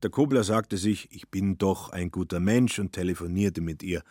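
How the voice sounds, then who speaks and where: A man's voice: low voice, moderate pace, darkish tone, no room sound. A man narrating a literary radio play with dry, ironic storytelling.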